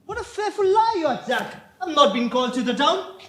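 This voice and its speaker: Guy acting as lovestruck cheeky young gent, really high voice, echoey stage play. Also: very animated, fairly quick, fairly bright tone, a little hiss or hum, heavily acted. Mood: teasing, flippant. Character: flippant, lovestruck young gentleman wooing a girl. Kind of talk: amateur stage comedy acting. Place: indoor theatre stage.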